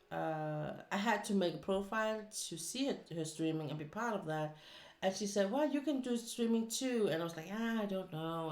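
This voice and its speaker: Danish lady, english as her second language, low-ish voice, rambly craft stream chat, roomy echo. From Denmark. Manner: rambling, with many fillers and restarts. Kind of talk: casual chat while crafting.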